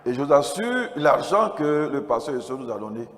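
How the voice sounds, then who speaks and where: A man with an Ivorian French accent giving a testimony as a pastor at a church convention, his throat strained by a cold, moving between quiet asides and loud exclamations. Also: medium pitch, big pitch swings, normal speed. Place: large church convention hall. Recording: reverberant hall PA, noisy background.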